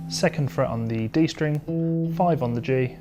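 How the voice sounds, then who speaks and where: A man's voice: mid-range voice, moderate pace. A man giving a guitar lesson, explaining step by step in a conversational, instructional way. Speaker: guitar teacher. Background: electric or acoustic guitar playing.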